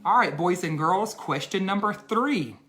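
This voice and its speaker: A man hosting a read-aloud for children, speaking directly to young listeners with deliberate, emphatic phrasing. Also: sits high, expressive, bright, forceful tone, faint music behind.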